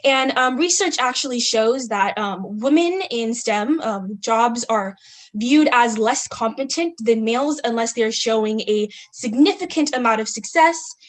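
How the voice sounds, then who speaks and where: A woman's voice: fairly high pitch, animated, measured pace. A young woman from California giving a student group presentation, reading from slides with frequent ums. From California, United States.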